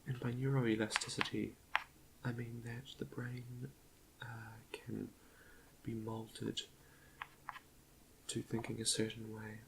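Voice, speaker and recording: A man quietly leading an ASMR guided meditation in a soft half-whisper, gentle and a little hesitant, close to the microphone with chalk clicks behind him. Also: medium pitch, level tone, slow, pauses often, slight background noise, low-quality recording. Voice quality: soft, breathy half-whisper with creaky fry.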